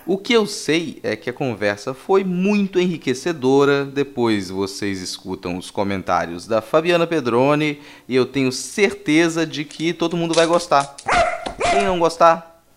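A man's voice: a little high, animated, normal speed. A man with a Brazilian accent hosting a podcast casually, with joking, mock-serious asides. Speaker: podcast host. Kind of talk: casual, playful podcast presentation.

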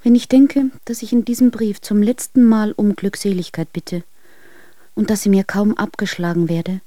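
Pleading, sorrowful wife for radio drama, female actor: mid pitch, mellow tone, earnest, intimate dry recording. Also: fairly even intonation, normal speed, no room sound, close to an everyday voice. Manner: earnest, imploring. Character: unhappy, pleading young wife. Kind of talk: dramatic letter reading in a radio play.

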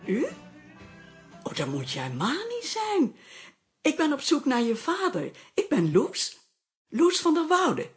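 An actress playing a chatty, daring elderly woman in a Dutch radio drama, coy and easily indignant, with a husky voice. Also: high-pitched, wildly swooping pitch, takes it slowly, pauses often, faint music behind, exaggerated voice.

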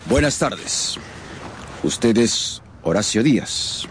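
An actor playing a proud, disdainful elderly gentleman in a radio drama, courtly and deliberate in manner. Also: mid-range voice, lively intonation, slight background noise. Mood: coolly courteous. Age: older. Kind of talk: Spanish-language radio drama acting. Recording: music behind the voice.

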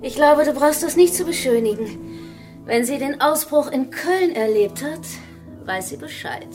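Blunt female security officer, high lively voice, dry sarcasm, radio drama. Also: measured pace, faint music behind. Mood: scornful. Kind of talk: German radio drama acting.

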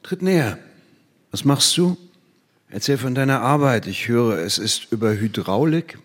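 An actor playing an old, half-blind captive scientist in a stage drama, speaking in deliberate, ironic, measured phrases. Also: male voice, medium pitch, animated. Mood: guarded, wry.

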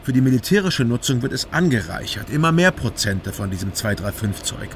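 Brief: actor as reserved investigator, plain matter-of-fact voice, car noise behind. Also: male voice, medium pitch, big pitch swings, brisk, lightly acted. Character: reserved, precise male investigator. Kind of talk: radio drama acting.

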